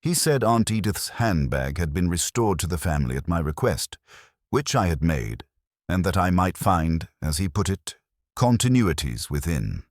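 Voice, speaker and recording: A man's voice: low-pitched, big pitch swings, normal speed, no room sound, studio quality. A man voicing a prim, politely fussy woman in a mystery story, speaking precisely and genteelly. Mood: prim, composed.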